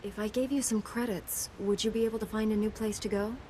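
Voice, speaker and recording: An actress playing a calm, helpful female knight hero in a video game, composed and sincere. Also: mid-range voice, fairly quick, a little hiss or hum, lightly acted, poor audio. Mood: calm, concerned. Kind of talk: video game character acting.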